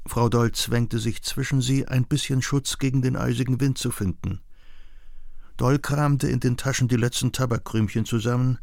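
Creaky-voiced man narrating literary prose. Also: medium pitch, darkish tone, no echo, clean studio sound. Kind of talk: literary prose reading in a documentary.

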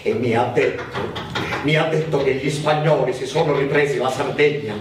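A man's voice: mid-range voice, normal speed, lightly acted. A man acting an anxious, gossiping Sicilian village housewife, confiding and folksy, in theatrical radio drama dialogue. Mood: worried gossiping. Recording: echoey, distant, faint noise.

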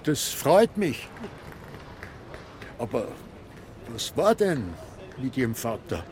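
Confused old guy in a radio play, slow and rambling, pitch jumps around. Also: very animated, darkish tone, a little hiss or hum. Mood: querulous. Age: older. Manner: halting, rambling, querulous. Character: confused, forgetful old man, former filmmaker, easily indignant. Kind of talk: radio drama acting.

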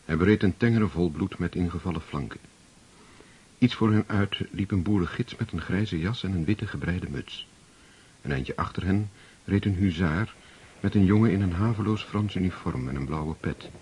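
A man playing the solemn narrator of a war tale in a radio drama, measured and literary. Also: sits low, a little mellow, husky. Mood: grave, descriptive.

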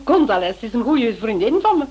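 An actress playing a charming, insistent Spanish club hostess in a radio play, effusive and persuasive, with a husky voice. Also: female voice, on the high side, big pitch swings, fairly quick, darkish tone, highs cut off. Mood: gracious.

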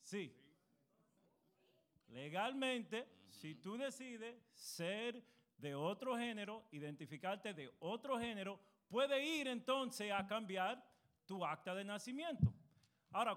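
A man, a pastor and lawyer, giving a church panel talk, earnest and halting with many fillers, addressing his listeners as brothers. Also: high-pitched, animated, a bit bright. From United States.

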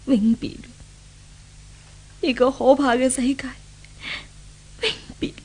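A woman's voice: high-pitched, flat delivery, slow pace, darkish tone, breathy edge, full character voice. An actress playing an anguished, suicidal young rich lady haunted by a ghost, in theatrical Hong Kong Cantonese 1970s radio drama style. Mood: grim resolve. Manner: theatrical soliloquy, exclaiming and lamenting. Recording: old 1970s radio drama with background music.

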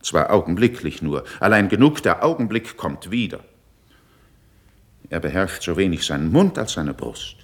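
A worldly older statesman of the court, very deep and creaky in voice. He speaks classical verse with measured rhetoric and a wide, lively melody, critical yet in control of himself. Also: exaggerated voice. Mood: reproachful, indignant. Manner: measured, rhetorical, emphatic declamation. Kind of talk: classical German verse radio drama acting.

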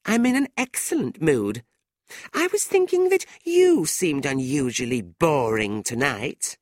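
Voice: mid-range voice, big pitch swings, moderate pace, darkish tone, dry recording, studio quality, pitched above the performer's own voice. A narrator voicing a sly, spirited young woman in a storybook, with emphatic, swooping speech. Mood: smug.

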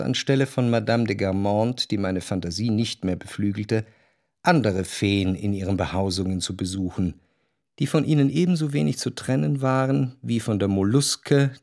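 An actor playing a reflective, wryly observant narrator in a literary radio drama, speaking in a measured, articulate way. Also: male voice, fairly low pitch, darkish tone, no echo, clean studio sound. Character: reflective, observant male narrator of society life. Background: faint music.

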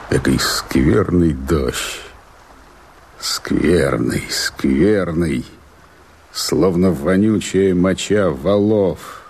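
A gruff cursing grumbler, super deep n gravelly, draggy slow, radio play. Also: male voice, heavily acted. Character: gruff, cursing man grumbling at foul rain. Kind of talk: declaimed verse radio drama.

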